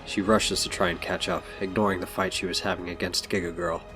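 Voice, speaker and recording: A man's voice: deep voice, sing-song, fairly quick, vocal fry. A man reading scripted story narration for an audio drama. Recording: music behind.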